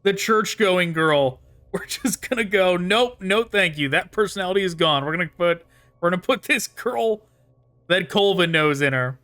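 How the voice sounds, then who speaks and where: A man's voice: sits high, lively intonation, normal speed, bright, forceful tone. A man giving casual, informal let's play commentary with amused musing as he plays. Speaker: gaming streamer.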